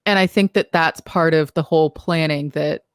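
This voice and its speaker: A woman's voice: low voice, steady tone, moderate pace, clear, resonant tone, no echo, studio quality. A woman co-hosting a podcast in casual conversation, practical and questioning, with a lot of "you know.". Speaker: podcast co-host.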